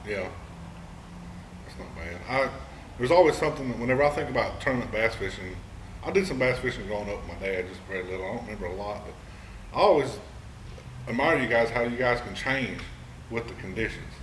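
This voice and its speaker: A man's voice: on the low side, brisk, long pauses, fairly bright tone, far from the mic. A man hosting the show, chatting in a friendly, easygoing, folksy way. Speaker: host. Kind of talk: casual chat. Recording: echoey room, mic held back, faint noise.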